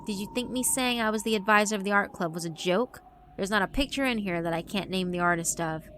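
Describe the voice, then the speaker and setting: A woman's voice: mid-range voice, fast talker, fairly bright tone, a little hiss or hum, close to an everyday voice. A woman voicing a proud, knowledgeable female art club advisor in a horror visual novel, defiant and self-assured. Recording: music behind the voice.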